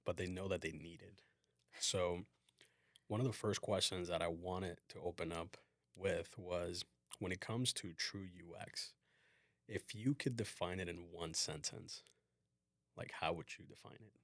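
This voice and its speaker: A man hosting a casual work podcast as a design manager, explaining things in a relaxed way with frequent fillers. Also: deep voice, unhurried, no room sound, clean studio sound.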